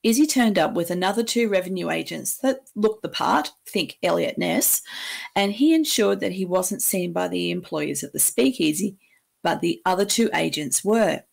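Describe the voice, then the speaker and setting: A woman's voice: fairly low pitch, vocal fry, a little mellow. A woman hosting a history podcast. She tells the story with playful asides, laughs at her own material and asks the listener questions. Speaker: podcast host.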